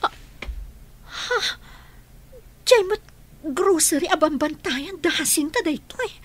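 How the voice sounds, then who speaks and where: A woman's voice: extremely high pitch, expressive, slow pace, exaggerated voice. An actress voicing a frightened young woman on the run in a radio drama, speaking tensely. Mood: determined.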